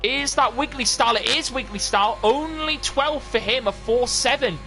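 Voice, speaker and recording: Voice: mid-range voice, moderate pace, bright, forceful tone. A commentator giving live play-by-play of an online motor race on a stream. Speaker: esports racing commentator.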